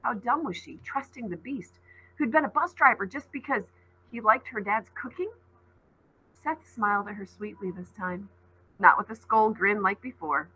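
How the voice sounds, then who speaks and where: A woman reading a children's novel aloud as a teacher, in expressive storybook narration. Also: medium pitch, moderate pace, breathy edge, rough recording.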